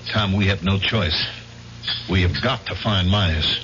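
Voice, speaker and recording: An actor playing a determined amateur investigator in an American radio drama, speaking earnestly and urgently with an American accent. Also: male voice, deep voice, unhurried, fairly bright tone, a little hiss or hum. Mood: grimly resolved. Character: determined amateur investigator hunting a killer, husband. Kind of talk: American old-time radio drama acting. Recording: muffled radio drama tape with music.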